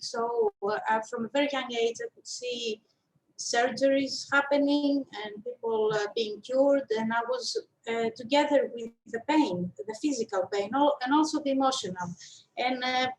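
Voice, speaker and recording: A woman's voice: high-pitched, poor audio. A woman in her fifties with a Greek accent, telling her story as an interview guest in a thoughtful, hesitant way. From Greece. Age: 50s. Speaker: interview guest, shiatsu practitioner and teacher.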